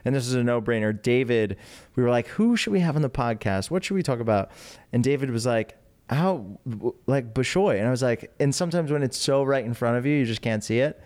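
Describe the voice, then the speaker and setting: A man's voice: mid-range voice, animated, fairly quick, darkish tone. A man hosting a casual podcast interview in an upbeat, chatty, welcoming manner. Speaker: podcast host.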